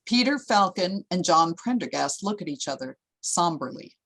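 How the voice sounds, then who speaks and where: A woman's voice: lowish voice, expressive, normal speed, a bit bright, rough recording. A woman reading out screenplay stage directions as scripted narration.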